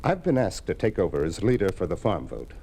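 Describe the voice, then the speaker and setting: Defiant young farm leader, animated inflection, insistent, persuasive, declamatory, 1950s radio drama. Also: male voice, low voice, fairly quick, a little hiss or hum, heavily acted. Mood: firm, challenging. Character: earnest, defiant young farmers' political leader.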